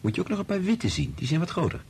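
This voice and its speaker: A man's voice: a little high, sing-song, quick pace, vocal fry, darkish tone, breathy edge, exaggerated voice. An actor playing a kind, patient male scientist in a Dutch radio drama, reassuring and explanatory in manner. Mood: patient, explaining.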